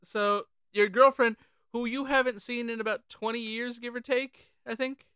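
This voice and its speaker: Bright, clear podcast co-host. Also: medium pitch, moderate pace, no room sound. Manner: animated, informal, profane. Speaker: podcast co-host reviewing horror films. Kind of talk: casual film discussion.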